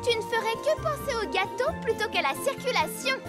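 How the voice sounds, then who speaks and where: A performer voicing a gentle, caring young helper in a French children's cartoon, sweet and tender. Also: very high-pitched, lively intonation, brisk. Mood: explaining. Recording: music behind the voice.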